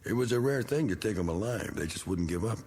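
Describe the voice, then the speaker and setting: An actor playing a needling, bitter middle-aged American war veteran with folksy, slangy talk in a vintage TV drama. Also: male voice, on the low side, lively intonation, fast talker, darkish tone, natural voice. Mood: wry, edgy. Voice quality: gravelly. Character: needling, bitter male war veteran, rough working man. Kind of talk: 1960s television drama acting.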